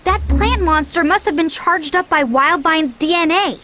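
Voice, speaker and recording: A woman voicing a sharp-witted, teasing young girl adventurer in a cartoon-style video game, expressive and sassy. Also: extremely high pitch, normal speed, soft background music. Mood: puzzled, alert.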